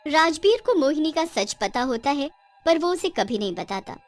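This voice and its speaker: A woman's voice: sits high, very animated, normal speed, faint music behind. A woman reading dramatic scripted narration for a cartoon horror story.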